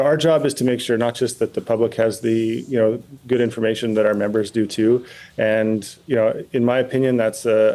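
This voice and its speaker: A man answering in a news interview as a pilots' representative, speaking in a careful, measured, halting and diplomatic way. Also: mid-range voice, dark tone. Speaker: pilot and pilots' association president, interview guest.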